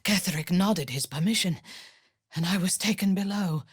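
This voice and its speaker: A woman playing a proud, ruthless female dark elf warrior in a video game, speaking in a cold, commanding, formally measured manner. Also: fairly low pitch, husky, no echo, clean studio sound. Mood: solemn, intense. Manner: imperious, measured, formal phrasing.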